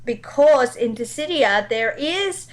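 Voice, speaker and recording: A woman's voice: sits high, expressive, takes it slowly. A woman speaking as a guest in a casual chat about video games. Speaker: guest, amateur voice actor.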